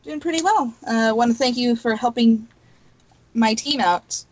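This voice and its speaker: A woman's voice: on the high side, lo-fi sound, crowd noise. A woman from Texas playing a tabletop game in casual, chatty talk. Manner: chatty, quick to laugh. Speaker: player.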